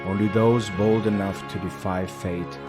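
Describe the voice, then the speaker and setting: A man narrating a cinematic fantasy audio drama in a solemn, dramatic manner with short measured phrases. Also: on the low side, fairly even intonation, over loud music.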